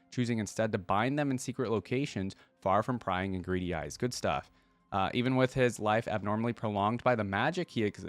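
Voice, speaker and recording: A man's voice: on the low side, dry recording. A man reading stories aloud and giving casual, chatty commentary as a reaction host. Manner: informal, chatty.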